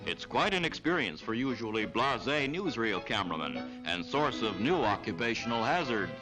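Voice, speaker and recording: A man's voice: mid-range voice, very animated, moderate pace, low-quality recording. An American man reading scripted narration in the style of a 1940s newsreel. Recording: vintage 1940s newsreel soundtrack with music. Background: background music.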